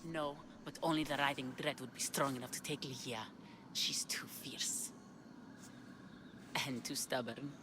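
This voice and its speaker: Earnest, anxious lover. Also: female voice, rumbling low, steady tone, a little slow, pauses often, background noise. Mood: longing. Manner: earnest, urgent. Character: devoted, anxious woman searching for her lost love. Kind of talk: dramatic video game acting.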